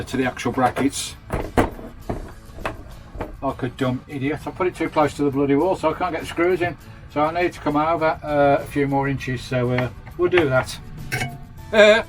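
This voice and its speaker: A man with a British accent giving a casual, matey, hands-on vlog commentary from inside a campervan. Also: fairly high pitch. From United Kingdom. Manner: chatty, matey, peppered with British slang. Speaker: vanlife vlogger. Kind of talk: casual hands-on video tour and fitting commentary.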